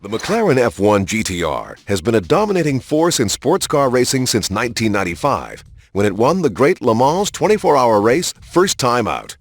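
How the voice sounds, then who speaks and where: A man reading scripted promotional documentary narration in a polished, measured announcer style, as for a car showcase in a video game. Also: medium pitch, big pitch swings, no echo. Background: prominent background music.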